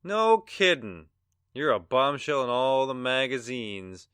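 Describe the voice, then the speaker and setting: Male actor, arrogant rich attorney, brash, scornful, medium pitch, crisp resonant voice, audio drama. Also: lively intonation, slow, a bit bright, dry recording. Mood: blustering, smug. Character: arrogant, rich, sneering attorney, blustering rival.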